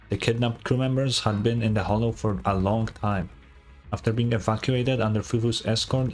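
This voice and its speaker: Casting: male game streamer, lowish pitch, casual and unimpressed, light background music. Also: darkish tone. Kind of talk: casual game reaction, reading text aloud.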